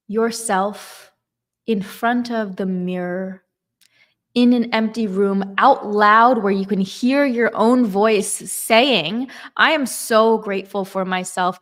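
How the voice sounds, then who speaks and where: A woman giving a slow, emphatic motivational talk on manifestation and gratitude. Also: medium pitch. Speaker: manifestation coach.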